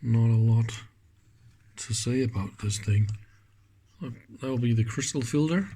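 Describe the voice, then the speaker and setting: Slow-paced, musing man. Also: medium pitch, mellow, slight background noise. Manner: musing, halting, trailing off mid-thought. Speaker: electronics teardown host. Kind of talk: casual unscripted hands-on commentary. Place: indoors at a workbench.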